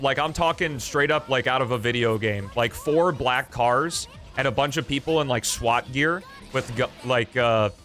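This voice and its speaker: A young man chatting casually on a live stream, loud and rambling with lots of fillers and jokes, in a small foam-treated room. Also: medium pitch.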